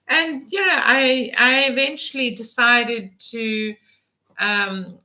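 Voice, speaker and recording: A woman's voice: on the high side, slow, bright, forceful tone, rough recording. A woman in her fifties hosting a casual live vlog, chatting in a rambling way with many fillers and restarts. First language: English. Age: 50s. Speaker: vlog host. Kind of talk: casual live-stream chat.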